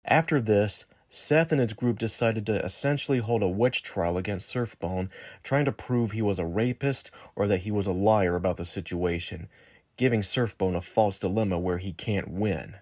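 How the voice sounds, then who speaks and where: Male narrator, low robotic synthetic voice, formal measured scripted reading, very dry sound. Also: darkish tone, dry recording. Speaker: video narrator. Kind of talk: scripted narration, accusatory exposé.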